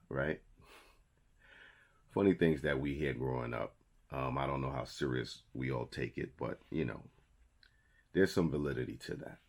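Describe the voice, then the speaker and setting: A man in his thirties with a New York accent, giving a motivational talk to camera in a conversational, emphatic way and repeating his key phrases. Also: very deep voice. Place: small indoor studio with a logo wall.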